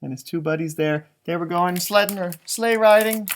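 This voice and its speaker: A man's voice: high voice, moderate pace, slight background noise. A man with an American accent reads a children's picture book aloud like an elementary teacher, stretching words for emphasis and adding chatty, simple explanations. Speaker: elementary music teacher reading storybooks aloud.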